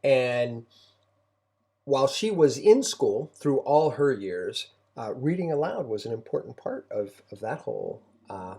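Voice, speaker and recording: A middle-aged man shares parenting thoughts in a soft-edged, mellow voice. He speaks conversationally and reflectively, circling back and repeating phrases as he finds his way through a thought. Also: medium pitch, very animated, unhurried. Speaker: homeschooling parent and co-host.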